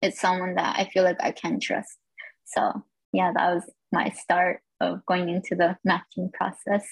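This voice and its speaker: A woman's voice: lowish voice, little pitch movement, measured pace, gravelly, breathy edge, no echo, rough recording. A woman sharing her own experience as a guest in a casual, reflective way. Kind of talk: casual personal storytelling.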